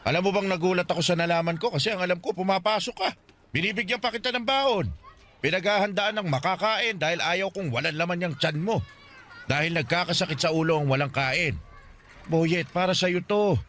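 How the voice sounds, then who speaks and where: A man's voice: high voice, brisk, fairly bright tone. A man with a Filipino accent playing a poor, stern but caring older guardian in a radio drama, scolding and pleading earnestly. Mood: angry, hurt. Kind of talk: Tagalog radio drama acting.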